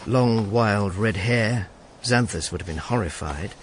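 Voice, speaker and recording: A man's voice: on the low side, unhurried, a little hiss or hum, close to an everyday voice. Man playing dry, world-weary Roman agent. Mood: dry, resigned. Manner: dry, sardonic, understated. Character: wry, world-weary Roman imperial agent narrating his own misadventures. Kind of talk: first-person radio drama narration and dialogue.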